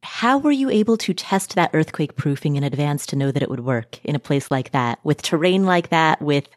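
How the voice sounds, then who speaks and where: Interviewer, dry close sound, long measured questions. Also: mid-range voice, lively intonation, no echo. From Nepal. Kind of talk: conversational interview.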